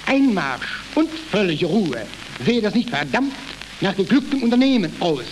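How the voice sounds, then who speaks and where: A man's voice: high-pitched, sing-song, measured pace, voice pushed up. A man reading the part of a young, radical freedom fighter in a stage play, declamatory and insistent. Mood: insistent, fervent. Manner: declamatory, pressing, emphatic. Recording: noisy, crackling historical archive recording.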